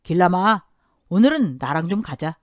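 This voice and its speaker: A woman's voice: lowish voice, sing-song, normal speed, no echo. A woman voicing a blunt but caring older father-in-law in a radio story drama. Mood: gruff, encouraging. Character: gruff but warm-hearted older father-in-law, a restaurant owner.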